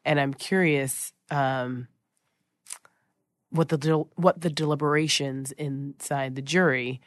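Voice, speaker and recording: A woman's voice: a bass voice, steady tone, slow pace, no echo. Curious, opinionated woman host, dry sound. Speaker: interviewer host. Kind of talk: interview questions and commentary.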